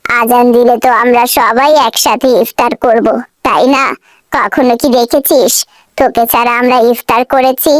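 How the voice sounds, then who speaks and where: A woman's voice: a little high, fairly even intonation, normal speed, no echo, pitched below the performer's own voice, lightly acted. Woman acting stern, nagging cartoon mother, dry sound. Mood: scolding. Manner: nagging, reproachful. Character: stern, scolding, exasperated mother of two. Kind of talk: children's moral cartoon dub.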